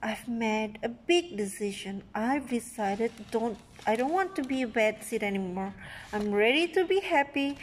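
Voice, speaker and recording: A woman voicing a moody, self-confessed bad sunflower seed from a storybook, with dramatic, drawn-out emphasis. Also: fairly high pitch, expressive, slight background noise. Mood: resigned, hopeful. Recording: echoey room, handheld phone recording.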